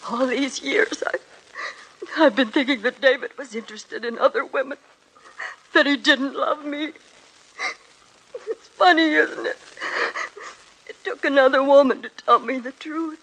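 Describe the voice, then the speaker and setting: A woman's voice: high voice, a little slow, long pauses, a bit bright, poor audio. An actress playing a married woman, a patient's wife, speaking earnestly and tearfully in a 1940s radio drama.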